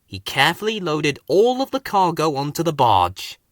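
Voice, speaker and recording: A man's voice: a little high, huge pitch swings, moderate pace, a bit bright, dry recording, clean studio sound. A man reading a scripted children's story as its narrator.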